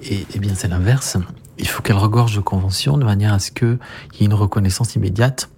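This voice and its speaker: Gravelly, husky man musing slowly. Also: fairly low pitch, a little mellow. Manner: halting, many restarts and fillers, reflective. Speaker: pianist, guest. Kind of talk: conversational radio talk.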